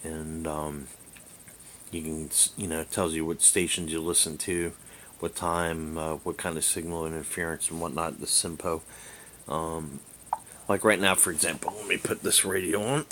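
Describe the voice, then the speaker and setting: Low-voiced man rambling through a casual demo. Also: level tone, moderate pace, fairly bright tone. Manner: rambling, hesitant, thinking aloud. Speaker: amateur reviewer and radio hobbyist. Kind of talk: casual hands-on app demonstration. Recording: hissy amateur camera recording.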